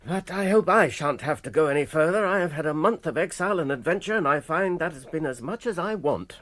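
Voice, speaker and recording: A British man playing a gentle, earnest, weary hobbit adventurer in a radio drama, speaking in a hesitant, halting and expressive way. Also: fairly high pitch.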